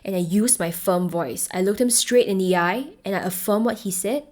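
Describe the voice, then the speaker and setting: A young woman from Singapore with a Singaporean English accent, hosting a solo podcast as a voice coach, speaking clearly and encouragingly. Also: medium pitch, moderate pace. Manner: clear, encouraging, shifts between firm and gentle tones.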